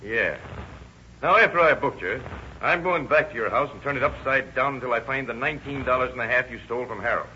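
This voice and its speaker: An actor playing a tough, sardonic police detective in 1930s radio crime drama, blunt, slangy and commanding. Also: male voice, medium pitch, brisk, pushed and bright. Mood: firm, pressing. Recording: muffled, noisy old radio recording.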